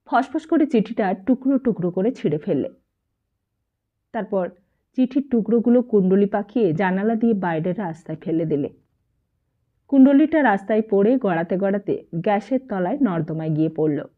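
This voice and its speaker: A woman reads a literary novel as an expressive audiobook storyteller, carrying the dialogue within her narration. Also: mid-range voice, moderate pace. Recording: muffled, narrow phone-like sound.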